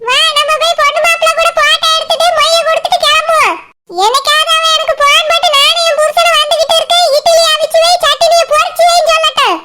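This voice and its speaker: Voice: very high voice, flat delivery, fast talker, sharp tone. A performer voicing an aggrieved, melodramatic village mother in a comic cartoon, with a Kanyakumari Tamil accent. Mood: complaining, hurt. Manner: complaining, melodramatic. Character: aggrieved, loud-mouthed village mother of grown daughters.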